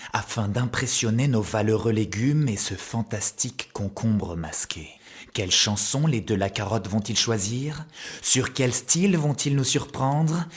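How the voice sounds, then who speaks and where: A man narrating a children's cartoon story in a mock-epic, theatrical manner. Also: mid-range voice.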